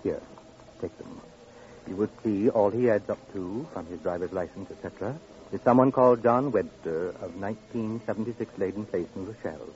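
An actor playing a pompous, unctuous funeral director in an old-time radio drama, with flowery, solemn, fussily genteel speech. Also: male voice, fairly low pitch, a little fast, a little mellow, lightly acted. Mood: fussy, pompous. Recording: muffled vintage radio recording, faint noise.

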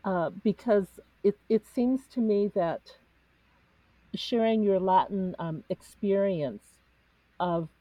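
Cantonese-native woman, low and breathy, reflective panelist, dry muffled recording. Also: no echo. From United States. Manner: earnest, searching, pauses with fillers. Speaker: discussion panelist. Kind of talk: reflective spiritual discussion.

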